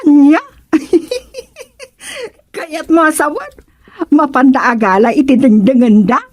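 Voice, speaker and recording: Chatty village lady, voice up high and swooping all over, radio drama comedy. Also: animated, slow pace, darkish tone. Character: lively chatty village woman, excited about the gardens.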